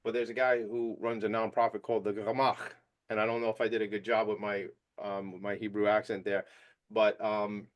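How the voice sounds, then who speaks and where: A man hosting a podcast interview, playful and excitable, breaking in with bursts of enthusiasm. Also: fairly low pitch, brisk. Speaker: podcast host. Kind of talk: casual interview chat.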